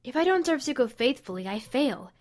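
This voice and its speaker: Very high, squeaky anxious servant. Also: male voice, sing-song, a little fast. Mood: anxious, torn. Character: anxious, dutiful servant fretting over serving a prince.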